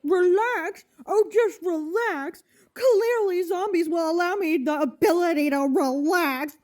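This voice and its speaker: Brief: woman plays whiny, frantic girlfriend, extremely high voice, sarcastic, drawing words out slowly. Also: a little mellow. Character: frantic, sarcastic girlfriend who stress-eats pizza. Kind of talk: comedic radio play acting.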